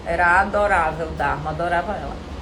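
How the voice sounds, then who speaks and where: A woman with a Brazilian Portuguese accent coaching actors in an informal live lesson, animated and didactic, often asking questions and answering them herself. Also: lowish voice, brisk, background noise. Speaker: acting coach teaching a live online class.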